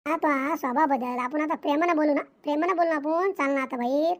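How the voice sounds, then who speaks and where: Cartoon husband, played by a man: very high, fast, flustered, pleading, rural Maharashtrian Marathi accent. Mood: coaxing. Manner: repetitive, insistent. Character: pleading, flustered cartoon husband caught between two wives. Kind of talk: cartoon comedy dialogue.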